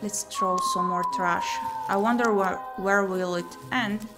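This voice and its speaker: Female game streamer, medium pitch, theatrical read-aloud of visual novel text plus commentary, prominent background music. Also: unhurried.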